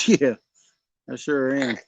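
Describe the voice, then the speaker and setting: A man's voice: mid-range voice, fairly even intonation, slow pace, no echo. A man, joking and chatting casually while night fishing on a lake, coaxing the fish aloud. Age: 40s. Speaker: fishing vlog host.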